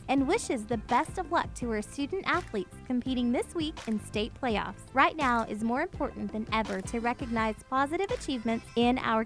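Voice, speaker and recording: A woman announcer reading a scripted community message for radio, with soft music behind. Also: high voice. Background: faint music bed.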